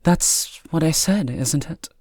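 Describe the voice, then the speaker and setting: Guy doing a bossy cold woman in an audiobook, impatient n condescending, raised pitch, dry sound. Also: lively intonation, moderate pace, a little mellow, no room sound. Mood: impatient, frustrated. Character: stern, disdainful, cold authoritarian woman.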